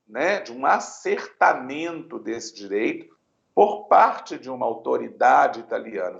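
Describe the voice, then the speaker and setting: Gravelly Brazilian man, muffled echoey sound. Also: mid-range voice. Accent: Brazilian Portuguese. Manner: deliberate, tag questions like "né?" and "tá?". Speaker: lawyer interviewed on Italian citizenship law. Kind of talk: explanatory legal talk.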